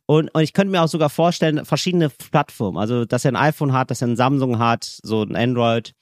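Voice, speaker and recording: A man's voice: medium pitch, fast, dry recording, studio quality. A man co-hosting a podcast in casual chat, teasing and rambling with many restarts. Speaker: podcast co-host.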